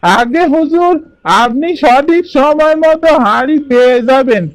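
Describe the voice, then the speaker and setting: A bright-voiced village potter. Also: male voice, very high voice, a little slow, no room sound, low-quality recording. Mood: eager. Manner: eager, excited calls to his wife. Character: poor village man, a potter. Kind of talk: cartoon voice acting.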